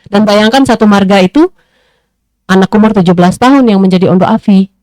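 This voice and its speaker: A woman panelist speaking in a discussion, thoughtful and pausing often with fillers as she reasons aloud. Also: mid-range voice, darkish tone, no echo.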